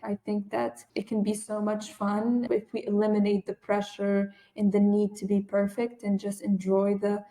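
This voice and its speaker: A woman's voice: mid-range voice, completely flat delivery, normal speed, few pauses, mellow, poor audio. A woman whose first language is Arabic, a guest on a podcast, talking in an earnest, emphatic way about teaching English. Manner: earnest, emphatic, often says definitely. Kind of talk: conversational podcast interview.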